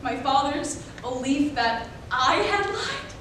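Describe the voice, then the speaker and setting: Slow, high-voiced young woman performing, heavy echo. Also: sing-song, fairly bright tone. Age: young adult. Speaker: college speech competitor. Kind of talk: dramatic readers theater monologue. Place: indoor performance room, recorded from afar. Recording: very echoey room, distant mic, noisy.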